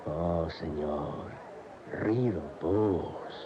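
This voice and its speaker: A man's voice: deep voice, drawn-out words. An actor playing a passionate bullfight witness, a native Spanish speaker, telling his story dramatically and emphatically in a radio drama. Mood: awed. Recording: muffled, noisy AM radio recording.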